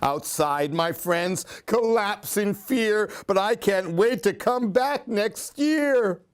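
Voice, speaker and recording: A man reading a children's story aloud in an expressive, theatrical, singsong way. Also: sits high, takes it slowly, clean tone. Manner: theatrical, singsong rhyming delivery.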